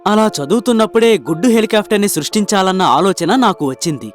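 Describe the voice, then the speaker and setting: A man's voice: high voice, fast talker, soft background music, natural voice. A man voicing an earnest, hopeful young inventor in a cartoon moral story, explaining himself sincerely. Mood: earnest, respectful.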